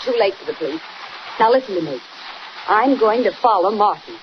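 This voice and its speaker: A woman playing a brave, brisk woman reporter with a firm, commanding manner in a 1940s radio serial. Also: mid-range voice, animated, lightly acted. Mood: determined. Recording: slightly noisy, muffled 1940s radio recording.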